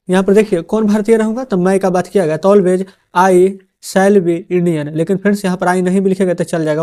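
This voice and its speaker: A man's voice: high voice, mellow. A male teacher dictating a translation lesson word by word, often checking in with his viewers. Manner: dictates the answers word by word, addresses viewers as friends.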